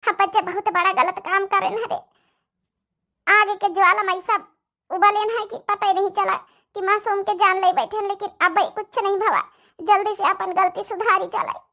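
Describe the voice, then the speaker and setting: Squeaky, bright cartoon village daughter-in-law with Awadhi accent. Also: little pitch movement, normal speed, heavily acted. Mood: remorseful. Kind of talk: dramatic comedy cartoon dubbing.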